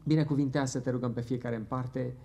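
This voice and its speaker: A middle-aged man leading a closing church prayer at a pulpit microphone, earnest and fervent, pausing now and then. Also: mid-range voice, fairly even intonation, vocal fry, dark tone. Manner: earnest, fervent, halting at times. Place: church hall with wood paneling.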